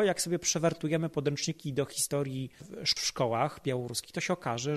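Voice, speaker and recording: A man speaking as an expert guest in an analytical podcast discussion, measured and explanatory, stressing key words. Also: on the high side.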